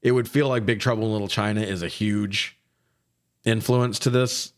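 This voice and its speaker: Deep-voiced man casually recapping a movie. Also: fairly even intonation, normal speed. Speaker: podcast co-host reviewing movies. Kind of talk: casual profane comedic movie recap chat.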